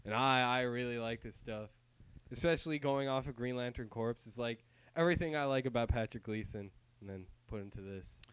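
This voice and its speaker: A man's voice: medium pitch, fairly quick, fairly bright tone, clean tone. A man co-hosting a review in casual chat, with the wry, keen talk of a fan. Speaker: comic book review co-host.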